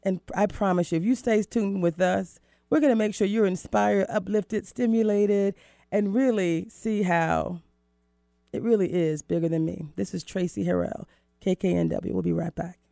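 Creaky-voiced woman hosting talk radio. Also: mid-range voice, fairly even intonation, moderate pace, dark tone, dry recording, clean studio sound, soft background music. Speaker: radio show host. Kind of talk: conversational live radio talk. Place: dry radio studio.

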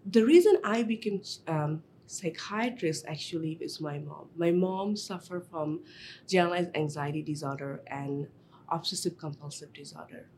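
A woman with a Bangladeshi accent tells a personal story in a lively, animated way, her phrasing clearly non-native. Her voice sits a little low for a woman. She speaks as a psychiatrist looking back on why she chose her field. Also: moderate pace, a little hiss or hum. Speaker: child and adolescent psychiatrist.

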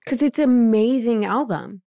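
A woman's voice: fairly high pitch, moderate pace, warm tone, dry recording, clean studio sound. A woman podcast co-host chatting casually, with an enthusiastic, emphatic way of talking. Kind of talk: casual chat.

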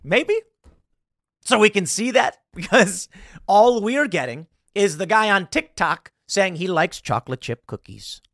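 A man's voice: high-pitched, expressive, unhurried, vocal fry, fairly bright tone, dry recording, studio quality. A man in his thirties hosting live political commentary, impassioned and sarcastic, mocking the people he quotes. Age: 30s.

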